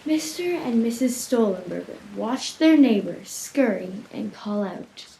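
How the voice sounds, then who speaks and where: A woman's voice: fairly high pitch, expressive, unhurried, gravelly, warm tone. A woman narrating a children's radio play in scripted storytelling style. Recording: echoey room, faint noise.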